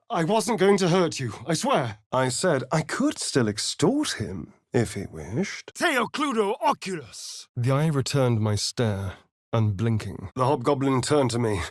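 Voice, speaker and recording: A man's voice: medium pitch, very animated, measured pace, no echo, clean studio sound. A male actor playing a vain, sly, sardonic vampire adventurer in a video game, with a theatrical, haughty delivery. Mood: loud, dramatic, then measured storytelling. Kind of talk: video game character voice lines.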